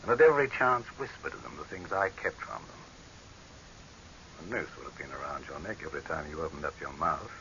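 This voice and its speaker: An actor playing a proud, tyrannical dictator in a theatrical 1930s radio drama style, declamatory and imperious. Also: male voice, rumbling low, lively intonation, fairly quick, muffled recording. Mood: scornful, lecturing. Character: tyrannical, proud male dictator, cynical and desperate. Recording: hissy, band-limited 1930s radio recording.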